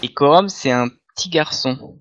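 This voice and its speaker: A man's voice: on the high side, moderate pace, a bit bright, slight background noise. Hesitant man, dull lo-fi sound. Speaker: podcast panelist. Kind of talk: casual chat.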